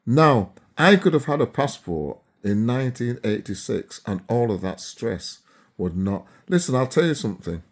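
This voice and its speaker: A man in his seventies, originally from Barbados, talking casually to camera and often checking in with his viewers. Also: fairly low pitch. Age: 70s. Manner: chatty, keeps checking in with listeners. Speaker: vlogger.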